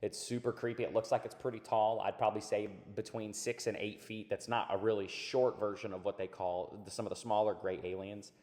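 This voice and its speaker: A man hosting a channel, talking casually and rambling over clips, with a raspy voice that is hoarse from a recent illness. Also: on the low side, flat delivery, a little fast, hardly any pauses, a little mellow. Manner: rambling, laid-back, says dude and man. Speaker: channel host. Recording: hollow tunnel-like echo.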